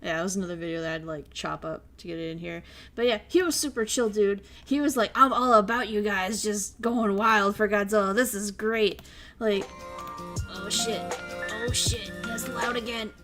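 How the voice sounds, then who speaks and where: A young woman chatting on her stream, telling stories with lots of animation and frequent laughter. Her voice sits at an ordinary pitch, and faint music plays underneath. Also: moderate pace. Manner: animated storytelling, laughs often, reads chat. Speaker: VTuber streamer. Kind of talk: casual chat stream recap.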